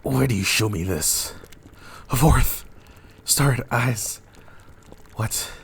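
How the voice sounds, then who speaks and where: A man's voice: medium pitch, takes it slowly, vocal fry, a little hiss or hum. A man playing a tormented, imperious and ruthless king, declaiming weightily in a dramatic Shakespeare reading. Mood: horrified.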